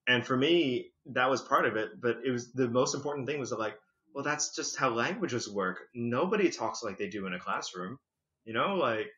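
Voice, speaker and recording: A man's voice: medium pitch, moderate pace. Chatty man on a dull lo-fi recording. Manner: chatty, lively, leans on "like" and "you know". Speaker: podcast guest. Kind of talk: casual conversational storytelling. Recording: dull lo-fi podcast audio.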